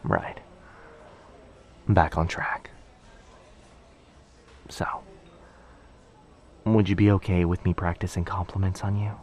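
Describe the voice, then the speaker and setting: A man acts out a shy, bookish young man in a boyfriend ASMR audio drama. His voice is low, with a creaky fry at its edges. He speaks softly and breathily, hesitant and gently nervous. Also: steady tone, takes it slowly, long pauses. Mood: anxious, apologetic. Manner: hesitant, soft-spoken, breathy, self-effacing. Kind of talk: intimate boyfriend ASMR roleplay.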